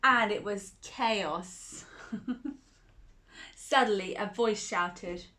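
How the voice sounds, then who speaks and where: A woman's voice: mid-range voice, drawn-out words, bright, forceful tone. A woman reading a children's picture book aloud, chuckling often and asking the listener questions. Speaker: children's storyteller.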